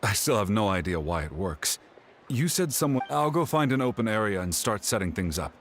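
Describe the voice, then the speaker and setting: A man's voice: lowish voice, sing-song, fairly quick, a little hiss or hum. A man voicing a weary, matter-of-fact young tinkerer who explains things in a video game. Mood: focused, explanatory. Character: defeated yet invested young male occult tinkerer.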